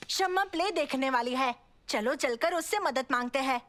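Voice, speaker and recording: A woman voicing an earnest, expressive young boy, a helpful friend, in an animated cartoon. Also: high voice, normal speed. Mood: urgent, reassuring. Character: eager, reassuring young boy, helpful friend acting in a play. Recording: music behind the voice.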